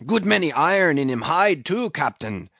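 A man voicing an excitable foreign harpooner in an audiobook, faltering through broken English and groping for words. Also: a little high, moderate pace, vocal fry, breathy edge, dry recording, dull audio, voice pushed up, exaggerated voice. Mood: excited, groping.

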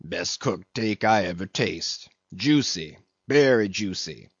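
A man's voice: mid-range voice, big pitch swings, a little slow, dry recording, dull audio. A man voicing a sulky ninety-year-old ship's cook in a storybook, muttering and grumbling in a heavy dialect. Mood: sullen.

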